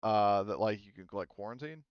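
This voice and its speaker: Low, smooth-voiced man running a game. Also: moderate pace, no room sound, highs cut off. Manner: rambling, many fillers and restarts. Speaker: game master. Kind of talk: narrating a tabletop game, casual.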